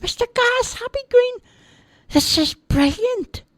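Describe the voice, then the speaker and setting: Woman voicing a high, stammering young boy for a storybook. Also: lively intonation, slow pace, no room sound, clean studio sound, full character voice. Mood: wondering. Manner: hesitant, stammering. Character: young boy, tearful and wondering.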